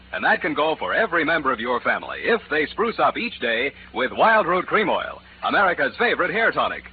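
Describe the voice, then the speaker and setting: A man's voice: on the high side, a little hiss or hum. A man announcing an old-time 1940s radio show in an emphatic, polished sales delivery. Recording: tinny 1940s radio broadcast recording.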